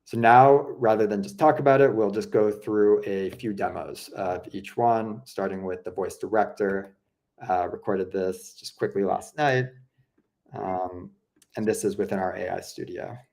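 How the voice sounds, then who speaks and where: Relaxed, low-pitched man explaining software. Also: unhurried, creaky. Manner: relaxed, chatty, many fillers like "you know" and "um". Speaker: product presenter. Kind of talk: casual explanatory walkthrough.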